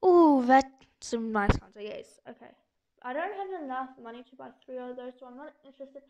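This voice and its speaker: A woman's voice: high voice, lively intonation, moderate pace, a little mellow, dry recording. A young girl doing a casual, chatty and rambling gaming voiceover as the host of the video. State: sore throat. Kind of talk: casual game commentary voiceover. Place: echoey room at home, voice-over.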